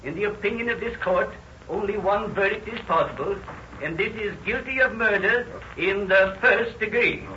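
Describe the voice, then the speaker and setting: A stern, declamatory judge in vintage radio drama. The voice is bright and formal. It rings out from far off in an echoey, muffled old recording. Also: male voice, sits high, normal speed, slight background noise. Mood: stern, condemning. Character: stern male judge pronouncing a harsh sentence. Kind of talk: 1930s radio drama acting.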